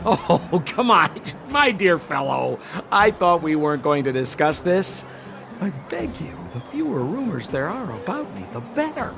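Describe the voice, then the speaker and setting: A man's voice: fairly high pitch, big pitch swings, unhurried, vocal fry, exaggerated voice. A man voicing a roguish, ingratiating con man guide in a video game, with flowery, theatrical politeness. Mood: coaxing. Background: game music.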